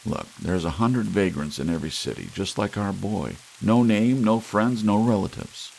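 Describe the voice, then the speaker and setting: A man's voice: lowish voice, moderate pace, creaky, darkish tone, slight background noise, pitched above the performer's own voice, rough recording. A man voicing a brusque, skeptical prosecutor in an audiobook reading. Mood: scoffing. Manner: brusque, dismissive.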